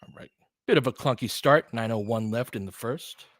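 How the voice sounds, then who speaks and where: A man in his forties doing casual play-by-play of a basketball game, chatty and excitable, thinking aloud between plays. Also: mid-range voice, normal speed, dry recording, clean studio sound. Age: 40s. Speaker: play-by-play host. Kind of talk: casual fan play-by-play commentary.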